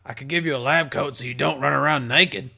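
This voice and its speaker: Brief: man doing a gravelly, bright-edged old ghoul stallion, chummy barkeep. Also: medium pitch, fairly quick, full character voice. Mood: friendly. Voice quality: gravelly, chummy, calls everyone mate. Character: gravelly old male ghoul pony bartender, jovial. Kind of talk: audiobook character voice.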